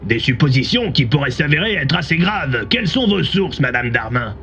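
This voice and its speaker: A man's voice: on the high side, big pitch swings, moderate pace, fairly bright tone, a little hiss or hum. A man playing a polished, serious local radio presenter in an audio drama, heard through a radio. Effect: heard through a car radio. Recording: muffled radio broadcast sound.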